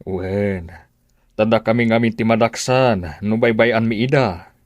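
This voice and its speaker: A man's voice: fairly low pitch, unhurried, no room sound, studio quality, lightly acted. A man playing a polite, reassuring recruitment agency officer in an Ilocano Filipino radio drama. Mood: reassuring, businesslike.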